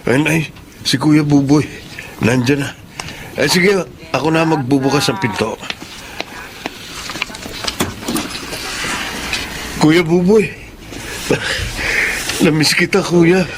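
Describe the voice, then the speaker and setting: A man's voice: fairly high pitch, a little slow, long pauses, lightly acted. A man playing an earnest, emotional grown son and grieving father in a radio drama, starting phrases hesitantly. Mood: excited, affectionate. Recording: noisy radio drama track.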